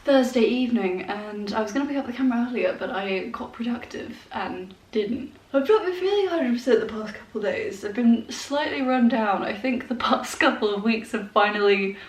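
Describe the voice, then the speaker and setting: A woman's voice: a little high, animated, normal speed, hardly any pauses, far from the mic. Congested young woman chatting in a roomy echo. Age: 20s. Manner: chatty, rambling, with fillers and small laughs. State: congested with a head cold. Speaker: vlogger. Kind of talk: casual chat to camera. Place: sparsely furnished room at home, indoors. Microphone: handheld compact camera. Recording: roomy echo, voice a bit off-mic.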